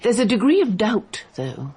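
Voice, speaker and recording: An actress playing a scheming aristocratic mother who speaks in a measured, calculating way. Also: a little high, sing-song, a little mellow, slightly rough, exaggerated voice. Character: scheming aristocratic mother, older woman, mercenary. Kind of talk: BBC radio drama acting.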